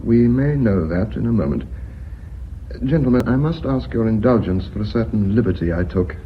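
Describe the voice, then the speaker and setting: An actor playing a measured, polite British company secretary in a radio drama, speaking formally and methodically. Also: male voice, lowish voice, expressive, close to an everyday voice. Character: measured, deferential company secretary and bookkeeper revealing his scheme. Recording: muffled, noisy old radio recording.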